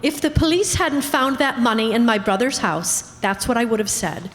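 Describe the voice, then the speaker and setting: A woman's voice: fairly high pitch, animated, normal speed, lightly acted. A woman playing a defiant, scheming prisoner who shields her brother in an old-time radio drama, aggrieved and insistent. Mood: pleading, insistent. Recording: reverberant hall, faint background noise.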